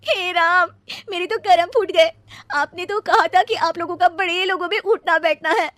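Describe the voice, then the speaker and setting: A woman voicing a sharp-witted young bride in a cartoon, exasperated and emphatic. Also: extremely high pitch, moderate pace, dry recording, studio quality, voice pushed up. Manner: emphatic, incredulous questioning. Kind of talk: animated cartoon dialogue.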